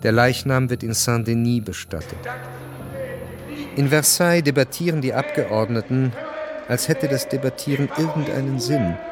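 An actor as the sober, literary narrator of a historical radio drama, telling it in a measured way. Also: male voice, mid-range voice, over music, natural voice.

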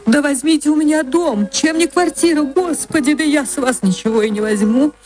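A woman's voice: sits high, normal speed, darkish tone, soft background music, pitched above the performer's own voice, lightly acted. A woman playing a tender, easily moved and doting provincial woman in a radio story, speaking with gushing affection. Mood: tearful excitement. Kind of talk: literary radio reading.

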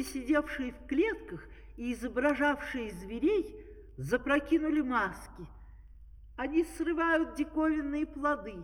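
A man acting as a measured, wonderstruck storyteller, reading scripted narration in a children's audio play. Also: extremely high pitch, exaggerated voice. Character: wonderstruck fairy-tale narrator, measured and vivid. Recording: 1970s record, distant, long reverb.

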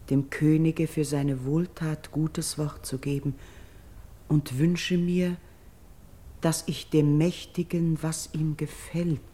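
Low, mellow-voiced noble priestess. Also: female voice. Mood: reluctant, dutiful. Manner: formal, measured, reverent declamation. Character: noble, reserved exiled priestess, humble and guarded. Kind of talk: classical verse radio drama.

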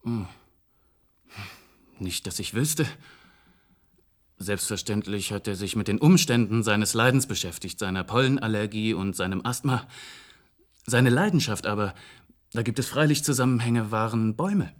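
An actor in a German radio play playing a polite, formal cellist who speaks with hesitant courtesy. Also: male voice, fairly low pitch, moderate pace, pauses often, close to an everyday voice. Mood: reflective. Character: polite, hesitant cellist, grieving son, unworldly with technology.

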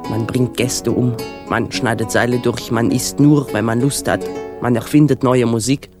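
Actor as defiant ship's cook, mid-pitched. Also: male voice, fairly even intonation, normal speed, music in the background, natural voice. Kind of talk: radio drama acting.

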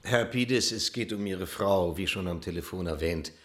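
A man's voice: low voice, normal speed, a bit bright. A man playing a formal, grave police officer in a German radio drama. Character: formal male police officer delivering grim news.

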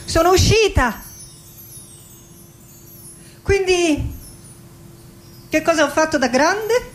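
An actress playing a middle-aged Argentine woman poet in a theatrical radio monologue, reciting lyrically and deliberately with dramatic pauses, melancholy, wry and passionate. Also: very high-pitched, expressive, soft background music, exaggerated voice. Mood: restless, insistent.

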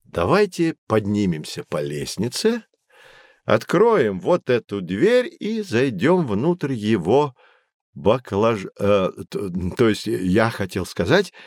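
Animated male teacher guiding children. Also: on the low side, slow pace, dry recording, studio quality, heavily acted. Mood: patient, explanatory. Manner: clear didactic storytelling to children. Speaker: guide explaining history and technology. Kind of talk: educational audio drama, scripted explanation.

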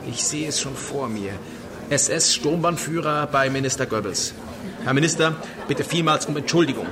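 A man's voice: on the high side, moderate pace, close to an everyday voice. An actor playing a sly, sardonic film-world man who needles others theatrically in a radio drama. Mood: mocking. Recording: busy crowd chatter behind.

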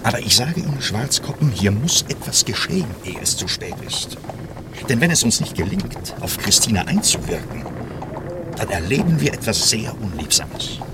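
Worried confidant in a radio play, lowish voice, ominous and insistent, lots of watery background noise. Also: male voice, big pitch swings, normal speed. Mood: urgent, foreboding. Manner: probing, ominous, urgent. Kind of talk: German radio drama acting.

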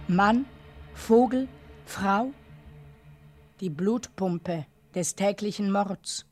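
A woman performing a solemn narrator in a radio drama, speaking in a deliberate, measured way with weighted pauses. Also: a little high. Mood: solemn, probing. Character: solemn female narrator pondering a violent, dreamlike image. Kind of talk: slow literary monologue in a radio drama.

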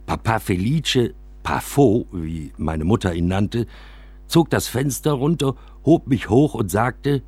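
Expressive low-voiced wry storyteller. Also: male voice, slow pace, slightly rough, dry recording, heavily acted. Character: man recounting his railway-side childhood with wry exaggeration. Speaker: narrator. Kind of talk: scripted first-person radio play narration, storytelling.

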